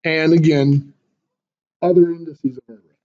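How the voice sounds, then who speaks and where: Guy teaching a math class, high voice, kinda hoarse, muffled lo-fi recording. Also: steady tone, measured pace, warm tone. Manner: explains step by step with fillers and restarts. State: losing his voice, clearing throat.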